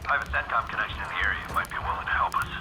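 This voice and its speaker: An actor playing a calm, hard-edged covert operative in a military game, terse and understated. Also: male voice, fairly low pitch, racing, noisy background, lightly acted. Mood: coolly controlled. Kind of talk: cinematic military game acting.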